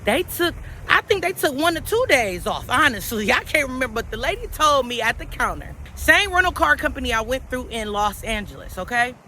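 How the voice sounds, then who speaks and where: Woman vlogger, high and bright, loud and slangy, swearing, shot outdoors on camera audio with faint traffic behind. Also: fairly quick, few pauses. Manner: animated, slangy, swears freely, talks straight to viewers. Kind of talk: casual motivational vlog. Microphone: camera without microphone.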